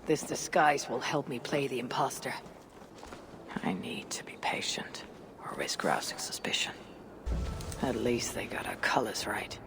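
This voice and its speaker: An actress playing a tough, proud female Viking warrior in a video game, deliberate and solemn, with a husky, gravelly voice. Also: a bass voice, lively intonation, in a whisper, music in the background, exaggerated voice. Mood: stealthy, low. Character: tough, proud Viking woman warrior, loyal to her clan. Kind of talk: video game cutscene acting. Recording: noisy outdoor game ambience.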